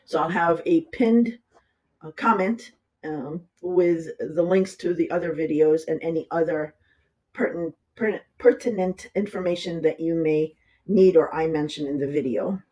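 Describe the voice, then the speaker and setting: An astrologer with a raspy, hoarse voice from a cold, talking through a horoscope in a loose, rambling way, with the audio sped up. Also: medium pitch, moderate pace, darkish tone, poor audio. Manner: rambling, talks loosely with asides. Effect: audio sped up in editing. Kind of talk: casual horoscope reading.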